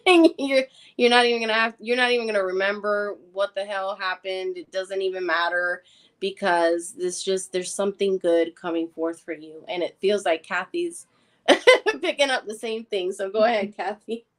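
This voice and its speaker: A woman, a guest psychic on a live stream, giving reassuring readings with many pauses and ums. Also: medium pitch.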